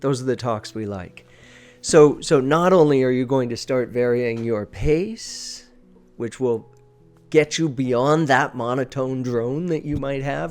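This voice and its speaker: A man's voice: medium pitch, a little mellow. Male presentation coach, emphatic and instructive. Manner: emphatic, repeats key phrases for stress. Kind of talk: informal instructional talk.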